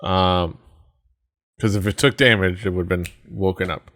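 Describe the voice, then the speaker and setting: A man running a tabletop game as game master, pausing often to look up rules. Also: deep voice, steady tone, a bit bright. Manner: hesitant, lots of ums while looking up rules.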